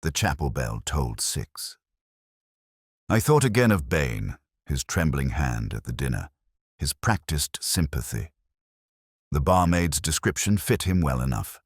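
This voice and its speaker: A man playing a brooding English police detective, narrating a mystery drama in a measured, literary and melancholy way. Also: extremely low pitch, big pitch swings, husky, no room sound, studio quality, full character voice. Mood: brooding, grave. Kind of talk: scripted first-person mystery narration.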